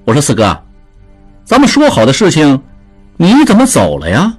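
A man's voice: on the high side, wildly swooping pitch, normal speed, husky, soft background music, heavily acted. A man voicing a sly, good-humored young merchant who teases and jokes. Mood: teasing. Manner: jovial, teasing.

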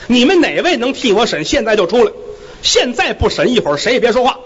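A man playing a loud, stern magistrate. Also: very high-pitched, sing-song, fast, slightly rough, muffled recording, voice pushed up, exaggerated voice. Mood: commanding. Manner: commanding, loud and emphatic. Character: stern male magistrate in his thirties.

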